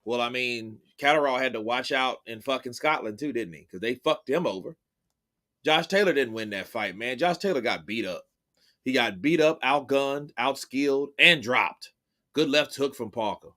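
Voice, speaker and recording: A man's voice: mid-range voice, normal speed, pushed and bright. A young man doing casual, profane live-stream commentary on boxing and chatting with his viewers. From Texas, United States.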